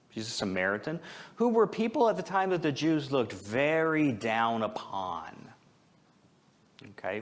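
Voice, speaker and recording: A man preaching an informal, conversational sermon as a pastor, with a joking, self-deprecating manner and rhetorical questions. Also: on the low side. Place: church service, speaking before a congregation.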